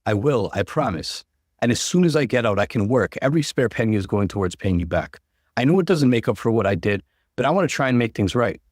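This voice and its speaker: A man's voice: medium pitch, lively intonation, quick pace, no echo, studio quality, close to an everyday voice. A man doing an earnest remorseful brother. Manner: earnest, apologetic.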